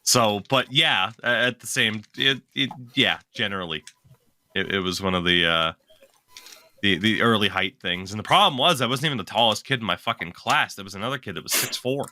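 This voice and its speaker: A man's voice: on the low side, a little fast, bright, forceful tone. A young man co-hosting a podcast, chatting casually, rambling and correcting himself as he goes, swearing now and then. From lives in Maine. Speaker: podcast co-host. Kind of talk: casual chat.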